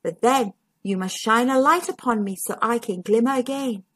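A woman voicing a faded toy moon in a children's storybook, gentle and whispering. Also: a little high, moderate pace, breathy edge, dry recording. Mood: hushed bargaining.

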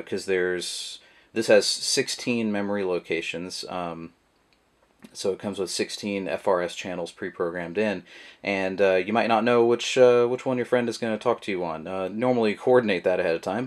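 A man's voice: sits low, moderate pace. A man giving a casual, rambling how-to demonstration of a product, full of fillers, his voice picked up by the camera mic at a table indoors. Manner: relaxed, rambling with many fillers. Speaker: product reviewer.

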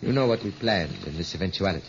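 A resolute young rebel son in an old radio drama. He speaks earnestly and gives orders decisively. The broadcast is dull with clear background noise. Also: deep voice, animated, fast talker. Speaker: radio actor. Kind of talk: 1950s radio drama acting. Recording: muffled, noisy vintage radio broadcast.

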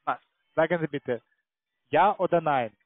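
A man with a foreign accent speaking non-native German as a call centre salesman, pitching haltingly and tagging sentences with "ja". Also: no room sound. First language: not German. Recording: tinny narrow phone line.

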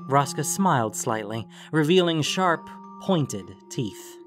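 A man's voice: a little high, animated, slow pace. A man narrating a scripted fantasy story as the narrator of an audio production. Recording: music under the voice.

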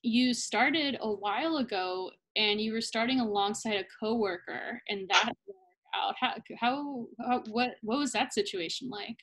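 A woman's voice: a little high, moderate pace, a bit bright, rough recording. A young woman interviewing a student over a webcam video call from a small room at home, asking friendly, rambling questions. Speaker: interviewer for language school. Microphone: laptop webcam on video call.

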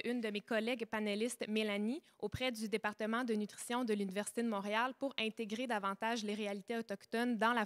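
A young woman nutritionist introducing herself calmly on a panel, holding a handheld mic. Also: a little high. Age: young adult.

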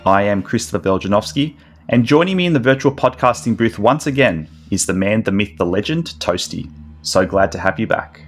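A man's voice: lowish voice, animated, brisk, faint music behind. A young man hosting a podcast, opening the show with an upbeat, announcer-style delivery into a headset microphone. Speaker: podcast host. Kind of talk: scripted podcast intro.

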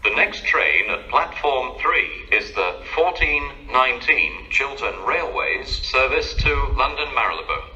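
A man gives formal station announcements in stitched phrases with a very bright, forceful voice. His pitch moves a lot, and the public address speaker sounds distant and echoing. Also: big pitch swings, a little slow, hardly any pauses, lo-fi sound. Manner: formal, segmented pre-recorded phrases.

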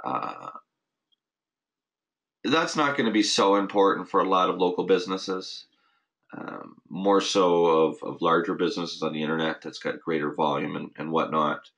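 A man with a notably low voice hosts a recorded webinar. He talks loosely and off the cuff, restarting sentences and leaning on fillers as he explains. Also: normal speed, creaky, fairly bright tone. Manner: casual, many restarts and "you know" fillers. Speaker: host of a weekly marketing update. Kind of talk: unscripted webinar talk.

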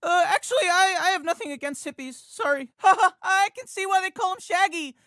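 An anxious teenage boy for a video game, his voice pitched very high and bright, and he keeps stumbling over his words in a jittery stammer. It sounds like a dry studio recording with no room around it. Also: fairly quick, no room sound. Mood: jittery, excitable. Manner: stammers and repeats words, cracks into yelps. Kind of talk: game voice lines. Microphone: studio microphone.

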